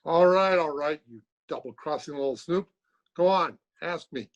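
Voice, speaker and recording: A man's voice: on the high side, normal speed, lo-fi sound, natural voice. A man playing a sarcastic, exasperated middle-aged doctor in an old-time radio comedy, trading dry insults. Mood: grumpy. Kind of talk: 1950s radio comedy acting.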